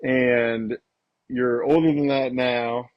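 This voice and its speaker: A man co-hosting a bird podcast, chatty and quick with questions for his guest. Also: medium pitch, steady tone, dull audio. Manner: chatty, asks questions, frequent restarts. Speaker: podcast co-host. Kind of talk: casual chat.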